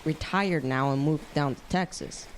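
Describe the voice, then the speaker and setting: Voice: mid-range voice, a little slow, close to an everyday voice. A young performer playing a bold, scheming teenage boy who leads a gang of kids in a radio drama, persuasive and informal. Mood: confident. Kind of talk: youth radio drama acting. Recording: noticeable background noise.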